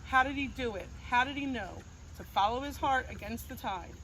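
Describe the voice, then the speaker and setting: High-voiced woman reading in sing-song rhyme. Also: moderate pace. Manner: sing-song, gentle rhyming cadence. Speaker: storybook reader. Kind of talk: reading a rhyming picture book aloud. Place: outdoors on a farm. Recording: noisy outdoor recording with crickets.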